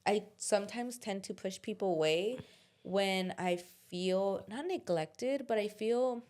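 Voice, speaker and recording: A woman's voice: mid-range voice, unhurried, darkish tone. A young woman co-hosting a podcast, talking casually and confessionally, with slang. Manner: casual, slangy, confessional, drops into quoted inner voices. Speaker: podcast co-host. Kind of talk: candid casual chat.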